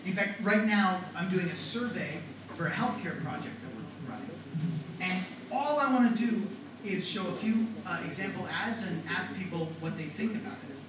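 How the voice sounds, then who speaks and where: A middle-aged man with a fairly high voice lectures a class, animated and quizzing his listeners. He sounds far from the microphone in a very echoey classroom. Noise hangs under the speech. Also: normal speed. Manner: animated, talks to the class with questions. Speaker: writing and rhetoric teacher. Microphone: far from the mic.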